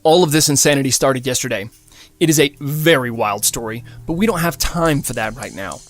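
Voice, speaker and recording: A man's voice: medium pitch, a little fast, lightly acted. A young male fugitive, played by a man reading an audiobook, speaks in a mid-range voice. He is urgent and insistent, with a cocky, dismissive edge. Music plays behind him.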